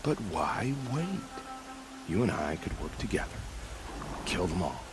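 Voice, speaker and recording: An actor playing a wry, philosophical, bloodthirsty bandit hunter in a video game, with a sardonic, musing, theatrical delivery. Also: male voice, low-pitched, big pitch swings, unhurried, music in the background. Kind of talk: video game dialogue acting.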